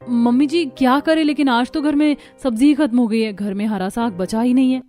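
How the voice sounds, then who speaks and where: A woman's voice: a little high, a little fast, dark tone, faint music behind, close to an everyday voice. A woman voicing a scheming, put-upon young daughter-in-law in a cartoon story, complaining dramatically. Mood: feigned worry.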